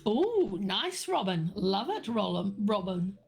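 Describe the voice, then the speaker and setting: A middle-aged woman hosting a live chat about tea, talking slowly with lots of fillers, her voice a little croaky. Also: medium pitch, sing-song, background noise. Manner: slow, meandering, many fillers and restarts. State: slightly croaky. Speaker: livestream host answering viewers.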